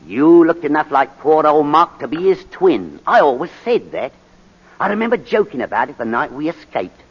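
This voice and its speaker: A man's voice: on the high side, big pitch swings, normal speed, husky. An actor playing a sly, falsely genial male blackmailer who wheedles and taunts in 1940s radio drama style. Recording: muffled, reverberant 1941 radio broadcast.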